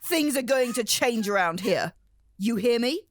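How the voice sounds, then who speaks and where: A woman's voice: on the high side, animated, a little slow, noisy background. A woman playing a harsh, domineering guardian in a horror radio drama, scolding and commanding. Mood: stern, threatening.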